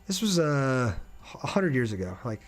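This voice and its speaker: A man's voice: medium pitch, very animated, normal speed, soft background music. Casual male game streamer chatting. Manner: reads viewer comments aloud and answers them. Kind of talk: casual livestream chat over gameplay.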